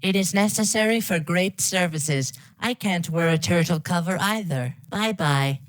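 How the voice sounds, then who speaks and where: A woman's voice: on the low side, unhurried, no room sound. A synthetic woman's voice reading scripted dialogue in a stilted, disjointed way. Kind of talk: text-to-speech reading of garbled game dialogue.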